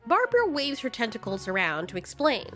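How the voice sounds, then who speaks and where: A woman narrates a scripted story. Her voice sits on the high side and moves animatedly up and down, with music underneath. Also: wildly swooping pitch, brisk, a bit bright. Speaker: narrator and host.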